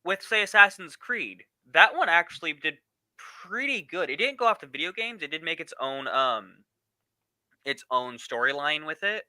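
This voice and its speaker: Very bright, crisp-voiced man explaining haltingly. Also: fairly high pitch, sing-song, no room sound, poor audio. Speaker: podcast panelist. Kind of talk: casual explanatory chat.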